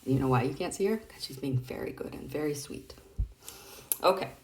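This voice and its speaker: A woman's voice: sits low, expressive, brisk, slight background noise. A woman hosting a casual read-aloud of comic strips, chatting playfully and expressively as she explains things directly to a child.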